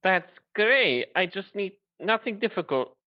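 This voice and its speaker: A performer voicing a polite, flustered female pony nurse in a fan fiction reading, gentle and hesitant, on a dull microphone. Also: mid-range voice, big pitch swings, a little slow, no room sound.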